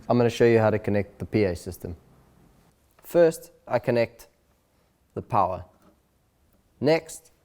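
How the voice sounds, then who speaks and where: A man presenting an instructional video in a clear, deliberate, step-by-step way. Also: medium pitch, darkish tone. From South Africa.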